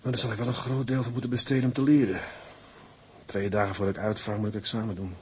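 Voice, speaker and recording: A man's voice: on the low side, level tone, quick pace, darkish tone, slight background noise, natural voice. An actor playing an affectionate young seaman husband in a radio drama, talking. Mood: thoughtful. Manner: colloquial, affectionate. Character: Jan, warm male merchant seaman, loving young husband.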